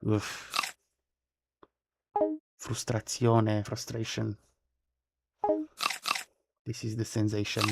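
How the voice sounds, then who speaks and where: A man's voice: fairly low pitch, completely flat delivery, drawn-out words, gravelly, pauses often, over loud music. A man streaming a game live, chatting casually in English. His first language is close to Italian, and he often repeats and rephrases himself. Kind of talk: casual live-stream chat while playing.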